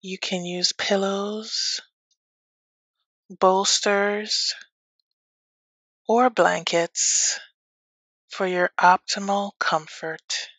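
Woman, yoga nidra teacher, soothing guided meditation, very slow pacing, long pauses, dry close sound. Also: medium pitch, fairly even intonation, a bit bright, dry recording.

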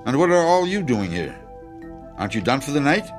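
An actor playing a secretly magical janitor in a radio drama, measured, knowing and quietly threatening. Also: male voice, mid-range voice, sing-song, soft background music, lightly acted. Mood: feigned innocence. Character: secretly magical janitor, controlled, quietly menacing older soul.

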